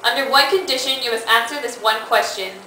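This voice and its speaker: A woman's voice: on the high side, a little fast, pushed and bright. A young woman playing a stern, bossy lady in an amateur student puppet show. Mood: stern, commanding. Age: teenager. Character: queen and old hag wife, bossy, stern. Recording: echoey distant amateur recording with hiss.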